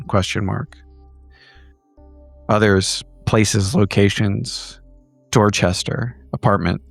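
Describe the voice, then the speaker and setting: A man playing a tabletop roleplaying game, describing a scene in clipped, deliberate fragments. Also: lowish voice, vocal fry, no room sound. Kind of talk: vivid improvised descriptive narration.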